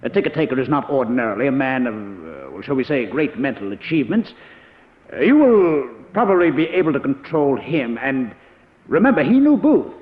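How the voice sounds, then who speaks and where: An actor playing an authoritative, methodical professor in an old radio drama, measured and determined. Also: male voice, mid-range voice, big pitch swings, lightly acted. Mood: decisive. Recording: dull, reverberant vintage radio recording.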